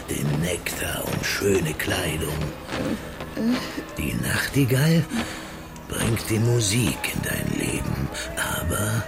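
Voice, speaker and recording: A deep, gravelly old fortune-teller. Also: male voice, heavily acted. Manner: slow, whispery, ominous. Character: old male fortune-teller, mystic and menacing. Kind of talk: radio play acting.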